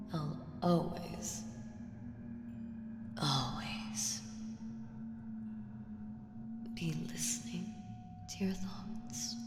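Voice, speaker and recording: A woman playing an ancient evil entity in an ASMR audio roleplay, seductive, menacing and playfully condescending, with teasing, drawn-out murmurs that slip into whispers. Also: mid-range voice. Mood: amused, menacing. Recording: echoing reverb with music underneath. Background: music.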